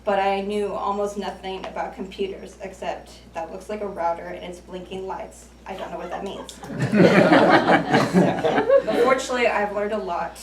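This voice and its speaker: A woman's voice: mid-range voice, moderate pace, heavy background noise. Young woman in her twenties giving a jokey self-deprecating club speech, roomy echo, mic far off. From United States. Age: 20s. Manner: self-deprecating, light joking asides. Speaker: icebreaker speaker at a speaking club. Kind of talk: prepared humorous speech. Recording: echoey room from far off.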